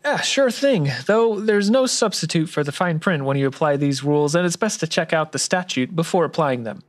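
A man's voice: fairly high pitch, lively intonation, moderate pace, lightly acted. A man playing an earnest, polite tax expert in a scripted noir radio drama, speaking precisely and courteously. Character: earnest by-the-book male tax expert, polite detective type.

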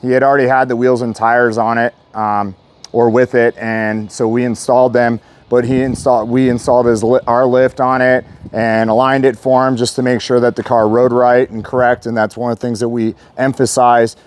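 A man's voice: medium pitch, flat delivery, normal speed. A middle-aged American man speaking casually and explaining things as he presents a vehicle walkaround outdoors. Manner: conversational, explanatory. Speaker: truck shop presenter. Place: outdoors in a paved loading yard.

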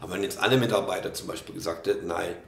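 Gravelly man with a cold, hesitant. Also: low-pitched, fairly even intonation, racing, roomy sound, a little hiss or hum. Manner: hesitant, many fillers and restarts. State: slightly hoarse from a cold. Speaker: reaction video host. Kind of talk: casual commentary on a video. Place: small room at a desk.

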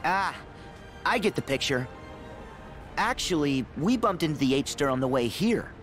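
An upbeat teenage boy adventurer in a fantasy game. His delivery is animated and unhurried, eager and sincere, with light music underneath. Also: a little high. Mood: curious, friendly.

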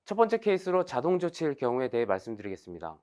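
A man delivers a scripted technical presentation in a measured, formal manner. His voice sits at an average pitch but moves up and down noticeably, stepping through each point as an explainer would. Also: very animated, a little mellow, clear, resonant tone.

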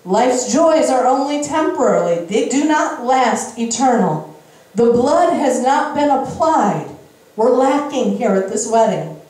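High-voiced woman preaching, very echoey room. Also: expressive, measured pace, darkish tone. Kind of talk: sermon, Bible reading with interjected explanation. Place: indoor church stage. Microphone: handheld microphone at a lectern. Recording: very echoey room, slightly distant sound.

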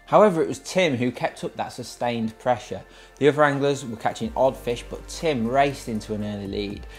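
A man with an English accent giving explanatory highlights commentary on a fishing match. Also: moderate pace, soft background music.

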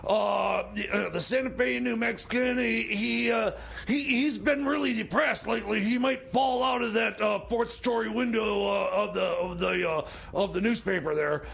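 A man's voice: high-pitched, fairly even intonation, moderate pace, vocal fry, few pauses, fairly bright tone, slightly rough, heavily acted. A man playing a bumbling, scheming mechanic in a comedy radio play, stammering and repeating his words. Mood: shifty, scheming. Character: bumbling, scheming time machine mechanic, easily sidetracked man. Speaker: comic sidekick on a radio show. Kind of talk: improvised comedy radio theater.

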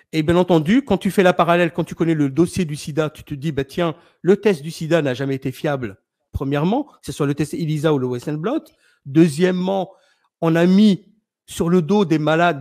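A man's voice: fairly high pitch, darkish tone. A man hosting a live stream, talking in an insistent, argumentative way. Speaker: livestream host. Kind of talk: casual chat on a live stream.

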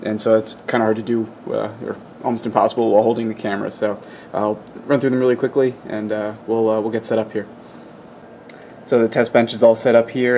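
A man with a fairly low voice and very little pitch movement walks through a technical demonstration. He speaks informally and methodically, feeling his way through unscripted explanations. It is picked up by a camera microphone at an indoor workbench. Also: a little mellow, a little hiss or hum. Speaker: presenter of an electronics demonstration. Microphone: camera microphone, behind the camera.

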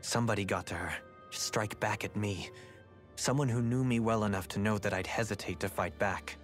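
An actor playing a guilt-ridden, gentlemanly young noble with formal, measured, grave diction in a dramatic video game. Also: male voice, fairly low pitch, soft background music, close to an everyday voice. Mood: grave, remorseful.

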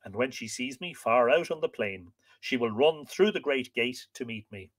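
A man's voice: medium pitch, lively intonation, moderate pace, natural voice. A man voicing a lovesick, impatient warrior chief from a storybook, fervent and dramatic. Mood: tender, insistent.

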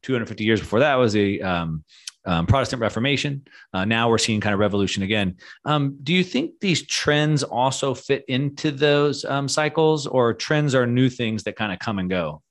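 Guy interviewer, average pitch, halting thoughtful questions, close studio mic. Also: lively intonation. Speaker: interview host. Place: small studio, indoors. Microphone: studio mic on a boom arm.

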